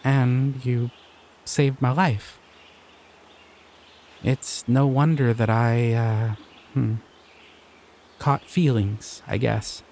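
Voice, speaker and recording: A young man voicing a shy, excitable male slime creature in an audio roleplay, talking in an earnest, flustered, giggly way. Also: medium pitch, extremely slow, long pauses, slight background noise. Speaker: voice actor.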